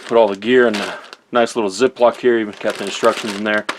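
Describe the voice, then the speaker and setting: A man with a fairly low voice talks through a product hands-on. His delivery is informal and conversational, like an easygoing reviewer chatting to viewers. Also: steady tone, quick pace, noisy background.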